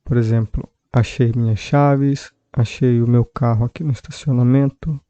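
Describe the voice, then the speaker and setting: A man with a Brazilian Portuguese accent, hosting a podcast language lesson and explaining verbs calmly and patiently, repeating example sentences. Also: mid-range voice, normal speed, no room sound, highs cut off. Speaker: podcast host and language teacher.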